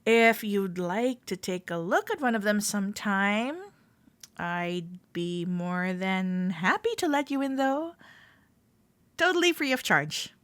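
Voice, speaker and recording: A woman playing a friendly, teasing club member giving a tour in a roleplay audio, speaking to the listener in a gentle, reassuring way. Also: mid-range voice, expressive, slow pace, no echo. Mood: welcoming.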